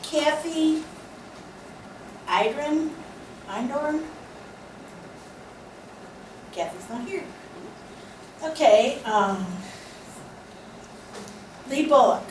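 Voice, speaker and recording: A woman jokes her way through the welcomes and prize draws at a club meeting, speaking slowly with a loud, chatty ease. Her slightly high voice comes from across an echoey room. A noisy room tone runs underneath. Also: lively intonation, far from the mic. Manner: joking, chatty, self-mocking, loud. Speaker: hospitality chair giving out door prizes.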